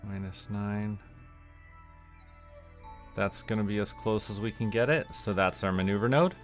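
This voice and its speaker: Casting: male game streamer, lowish voice, casual think-aloud commentary, music underneath. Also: a little slow. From Canada. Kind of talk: casual livestream gameplay commentary.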